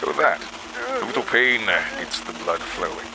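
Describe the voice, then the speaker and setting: An actor playing a sadistic, gloating villain in a horror audio drama, slow, condescending and mock-gentle with menace. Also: male voice, sits low, lively intonation, background noise. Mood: smug, taunting. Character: sadistic, gloating male villain, coldly amused and controlling.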